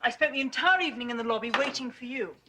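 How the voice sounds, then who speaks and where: Actress as a high-voiced scolding doctor; hissy clip. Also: female voice, fast talker, natural voice. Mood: annoyed. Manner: scolding, demanding. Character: sharp, exasperated female doctor. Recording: noisy TV drama clip.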